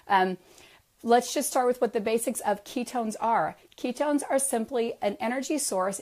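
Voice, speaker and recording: A hoarse, raspy middle-aged woman, a wellness practitioner from the United States, hosting a live question-and-answer stream in an upbeat, enthusiastic way on a headset mic. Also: a little high. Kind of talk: live social media talk.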